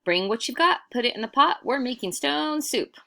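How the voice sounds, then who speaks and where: A woman's voice: high voice, expressive, moderate pace, vocal fry, bright, forceful tone, voice pushed up, full character voice. A woman voicing cheerful, persuasive hungry travelers with sing-song, exclaiming delivery in a storybook. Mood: gleeful, rousing. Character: two hungry, cheerful, persuasive male travelers, showy master cooks.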